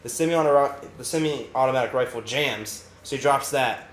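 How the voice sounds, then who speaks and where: A man's voice: medium pitch, normal speed. A young man co-hosting a casual podcast with friends, joking and laughing as he retells a story. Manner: jokey, laughs often, retells stories with animated asides. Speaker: podcast co-host. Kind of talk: casual chat among friends. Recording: echoey room with faint background hiss.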